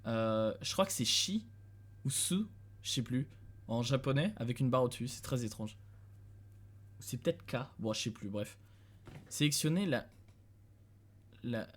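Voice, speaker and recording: A man's voice: medium pitch, moderate pace, pauses often. Young man streamer thinking aloud. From France. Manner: thinking aloud, chuckling, talking to chat. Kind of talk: casual live-stream commentary. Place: dim room at home.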